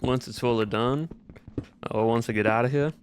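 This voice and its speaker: A man's voice: medium pitch, moderate pace, a little hiss or hum, lightly acted. A man voicing an easygoing, folksy Southern cowboy with a blended American Southern drawl, chatting casually and courteously on a game livestream. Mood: easygoing, chatty. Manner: folksy, courteous, uses y'all and ain't. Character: folksy male Southern cowboy gunpowder-factory owner, easygoing. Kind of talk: casual in-character livestream chat.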